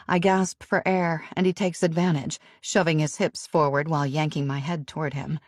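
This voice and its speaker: A woman narrating a romance novel in the first person, in an intimate, even storytelling manner. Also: low voice, no room sound, clean studio sound. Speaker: audiobook narrator.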